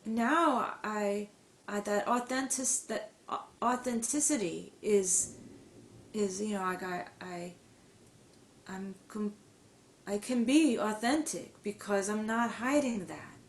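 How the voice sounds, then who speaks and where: A woman's voice: mid-range voice, slow, echoey room, a little hiss or hum. A woman who stutters, speaking reflectively as a guest in an interview. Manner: reflective, stutters with blocks and repetitions. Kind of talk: conversational interview.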